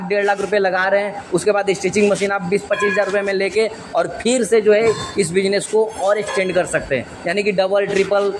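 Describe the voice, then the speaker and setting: A young man pitching machinery to camera from a small workshop, explaining earnestly and repeating his points. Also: background noise. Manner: earnest, repetitive explaining, gestures with hands. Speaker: machine seller presenting.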